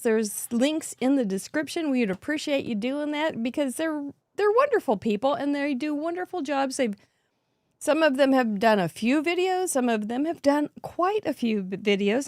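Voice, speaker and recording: High-voiced upbeat woman host. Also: no room sound, studio quality. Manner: upbeat, introduces guests. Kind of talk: livestream hosting.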